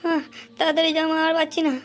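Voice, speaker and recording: Woman acting a high-pitched, wailing pregnant young woman. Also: completely flat delivery, very fast, soft background music. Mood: pained, pleading.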